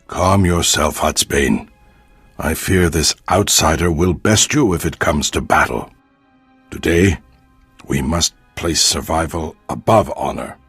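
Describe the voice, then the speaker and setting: Proud male alien elder of a tribe, husky, unhurried, dry close sound, formal, grave, game cutscene. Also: a bass voice, fairly bright tone, dry recording, faint music behind, heavily acted. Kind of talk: video game voice acting.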